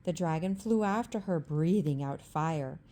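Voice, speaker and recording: A woman reading a rhyming bedtime story aloud in a calm, rhythmic ASMR style. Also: low voice, a little slow.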